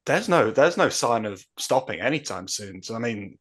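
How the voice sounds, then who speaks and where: A man's voice: mid-range voice, animated, normal speed, breathy edge. Joking male podcast co-host. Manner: joking, teasing. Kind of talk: casual podcast chat.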